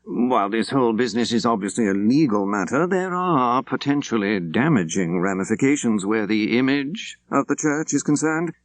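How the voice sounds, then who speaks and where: A man voicing a prim, cautious male clergyman with a formal, stern, measured delivery. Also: medium pitch, lively intonation, no echo, voice pushed up, lightly acted. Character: prim, cautious English clergyman guarding church's image.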